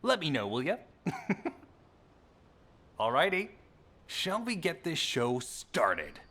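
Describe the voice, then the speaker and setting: An actor playing a cocky, boastful, prickly young hero in a video game, with a brash, showy delivery. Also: male voice, on the high side, big pitch swings, slow pace, bright, forceful tone, exaggerated voice.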